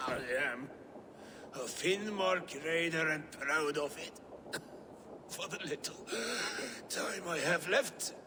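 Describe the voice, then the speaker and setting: A man's voice: fairly high pitch, takes it slowly, pauses often, noisy background. A man playing a proud, mortally wounded Norse raider chief in a video game, gasping and coughing. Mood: proud, bitter. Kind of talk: dramatic video game acting.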